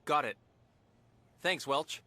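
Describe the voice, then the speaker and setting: A man's voice: a little high, takes it slowly, background noise. A man with an American accent voicing an earnest, dutiful young starship captain in a video game, speaking straightforwardly. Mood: alert. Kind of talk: video game cutscene voice acting. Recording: music behind the voice.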